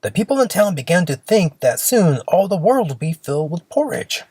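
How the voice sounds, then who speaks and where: A storyteller reading a children's fairy tale aloud in an expressive, simple storybook manner. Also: medium pitch, moderate pace, husky, dry recording.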